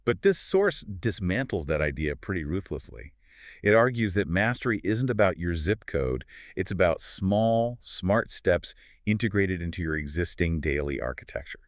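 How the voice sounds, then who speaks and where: A man co-hosting a podcast, explaining ideas in a measured, scripted conversational way. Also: deep voice, very animated, dry recording, clean studio sound. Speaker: podcast co-host.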